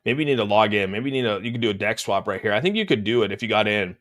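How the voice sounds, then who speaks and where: A man's voice: fairly low pitch, lively intonation, rapid-fire, a bit bright. A man hosting a crypto livestream, talking over charts and answering chat in a rambling, laid-back way, slightly congested. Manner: laid-back, rambling, says "dude" often. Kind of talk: casual chart talk answering live chat. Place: room at home.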